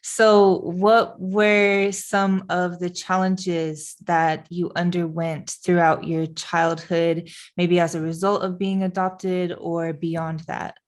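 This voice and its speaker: A woman's voice: fairly low pitch, level tone, slow pace, few pauses. A young woman hosting a podcast from her living room. She opens the show and interviews her guest with upbeat enthusiasm. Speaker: podcast host.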